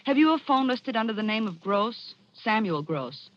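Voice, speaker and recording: A woman acting a worried, quick-witted gangster's secretary in a 1940s radio drama. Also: on the high side, very animated. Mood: businesslike. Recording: dull vintage 1940s radio recording.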